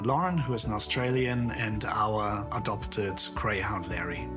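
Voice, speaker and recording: A man from southern Germany giving a friendly, candid personal account. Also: on the low side.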